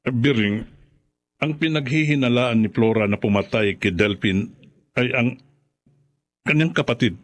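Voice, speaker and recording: An actor playing an anxious older father-in-law in a radio drama, speaking haltingly and pressing for answers. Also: mid-range voice, lightly acted. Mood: grave, secretive. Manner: halting, insistent questioning.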